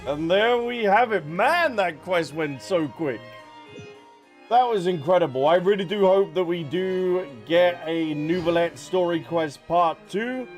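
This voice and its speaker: Chatty higher-voiced man livestreaming games. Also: unhurried. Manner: chatty, addressing viewers directly. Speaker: game streamer. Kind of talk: casual livestream commentary. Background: game music.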